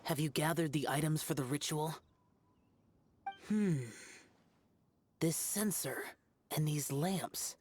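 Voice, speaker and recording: A woman's voice: deep voice, slow, pauses often, a little mellow, slight background noise. A woman voicing an aloof, stern young male immortal warrior in a game, terse and formal. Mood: stern, curt. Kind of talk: game voice acting.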